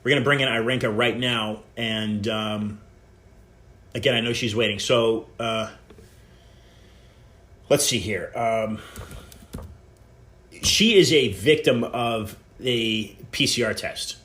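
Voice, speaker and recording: A man hosting a live call-in show, introducing and questioning a guest in a halting way, with many restarts. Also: medium pitch, a bit bright. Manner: halting, full of restarts and fillers. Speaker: podcast host.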